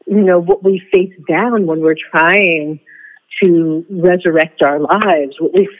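A woman's voice: lowish voice, slow. A woman playwright giving a thoughtful, articulate, reflective interview. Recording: thin phone-like line.

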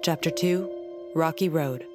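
A woman's voice: sits low, unhurried. A woman reading scripted announcements as a narrator. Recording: faint music underneath. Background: faint music.

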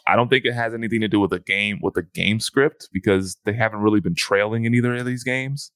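A man's voice: lowish voice, fast talker, fairly bright tone, clear, resonant tone, no echo, studio quality. A man co-hosting a fantasy football podcast in casual chat, often restarting his phrases.

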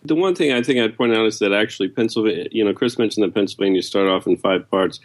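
A man's voice: fairly low pitch, quick pace. A man speaking casually as an editor guest in an interview.

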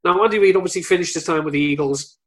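Wry man with a slightly high voice. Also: fast. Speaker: podcast co-host or guest. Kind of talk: casual sports chat.

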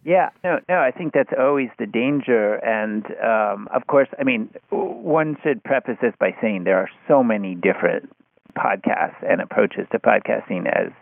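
A man's voice: mid-range voice, lively intonation, normal speed, gravelly, dry recording. A man in a casual, analytical discussion, speaking in hesitant, circling, heavily hedged phrases. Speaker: podcast discussion participant. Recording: muffled phone-like line.

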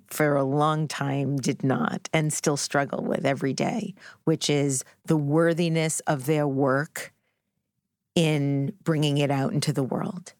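A woman speaking reflectively in an interview, articulate and measured, with emphatic phrasing. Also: sits low, creaky, darkish tone. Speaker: podcast interviewer, guest on this show.

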